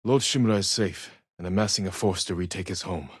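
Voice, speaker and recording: A man playing a stoic, honorable samurai warrior in a video game, husky and measured, with terse, solemn delivery. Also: deep voice, dry recording, studio quality. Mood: calm, composed. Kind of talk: video game dramatic voice acting.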